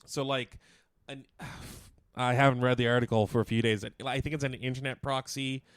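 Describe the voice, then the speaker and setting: A man with a smooth, clean voice co-hosts a casual radio chat. He explains things informally and off the cuff, feeling his way through as he goes. The sound is dry and close, with no room around him. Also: medium pitch, little pitch movement, normal speed, dry recording. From Canada. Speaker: co-host of a local music and chat radio show; musician. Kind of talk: casual unscripted news chat.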